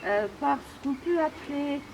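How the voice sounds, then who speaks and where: A woman giving an unscripted official briefing, speaking in formal but halting, hesitant phrases. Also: sits high, animated. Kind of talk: spoken press briefing, unscripted. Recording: clearly noisy on-location recording.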